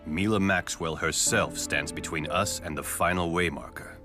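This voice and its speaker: A suave game CEO acted by a man with a very low voice. He is genial and formal on the surface, calculating underneath. Music plays behind him. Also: normal speed, close to an everyday voice. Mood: measured, businesslike. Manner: polite, smooth, formal. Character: suave, genial, calculating male corporate chief executive. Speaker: company CEO. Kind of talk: English video game voice acting.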